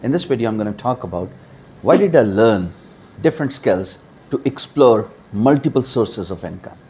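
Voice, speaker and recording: A man in his forties from Pakistan speaks straight to camera in a small home studio, sharing how he built his career. His delivery is lively and emphatic, with sudden pitch jumps on key words and plenty of uh fillers. A small lapel mic keeps his voice close. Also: moderate pace. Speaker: freelancer and YouTuber. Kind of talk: direct-to-camera personal story.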